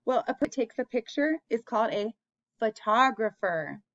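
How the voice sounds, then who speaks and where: A woman's voice: fairly high pitch, lively intonation, normal speed, a bit bright, dull audio. A woman hosting an interactive picture-book read-aloud for young children, asking them questions and encouraging them as she goes.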